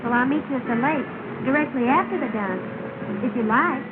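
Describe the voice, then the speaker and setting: A woman's voice: high-pitched, brisk, natural voice. An actress playing a polite, genteel young society woman at a dance in an early 1930s film. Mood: polite, agreeable. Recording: tinny 1930 film soundtrack with music.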